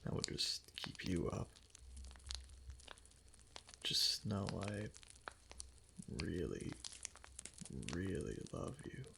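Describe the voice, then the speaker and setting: Guy doing a yandere fox husband asmr, tender n possessive, super croaky breathy whispery, swoopy intonation, close mic. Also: low-pitched, very animated, extremely slow, pauses often, noisy background, full character voice. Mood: tender, soothing. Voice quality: breathy, gravelly, often whispered. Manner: soft, hesitant, clingy pillow talk. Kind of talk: intimate romantic ASMR roleplay. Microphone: close ASMR microphone.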